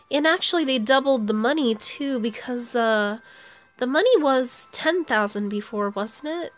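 A woman giving casual commentary on her own gameplay, thinking aloud as she plays. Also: high-pitched. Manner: thinks aloud while playing. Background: video game music.

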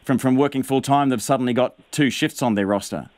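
A man's voice: medium pitch, brisk, darkish tone, no room sound. A man in his thirties with a New Zealand accent, presenting the news and pressing an interviewee with probing questions in a radio studio. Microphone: studio mic on a boom.

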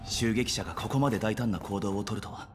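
Male actor: composed young ally, steady and urgent, long reverb. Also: on the low side, fairly quick, close to an everyday voice. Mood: serious. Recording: reverberant game audio with music.

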